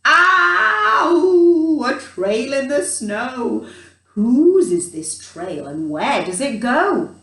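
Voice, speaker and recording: A woman's voice: high-pitched, very animated, very slow, fairly bright tone, heavily acted. A woman voicing a brave, curious young monster girl in a dramatic, exclamatory storybook manner. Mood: curious, excited. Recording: roomy, distant microphone.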